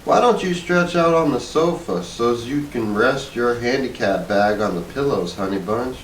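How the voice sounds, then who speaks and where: A man voicing a simple, sweet, chatty middle-aged wife with folksy, drawn-out phrasing in a storybook reading. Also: medium pitch, expressive, close to an everyday voice. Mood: coaxing, concerned. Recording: roomy, distant mic with faint noise.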